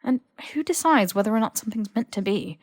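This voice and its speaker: A woman's voice: medium pitch, lively intonation, quick pace, no room sound, clean studio sound, lightly acted. A woman voicing a stubborn, teasing young male wizard in his twenties, casual and cheeky, for an audiobook. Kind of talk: audiobook character voice.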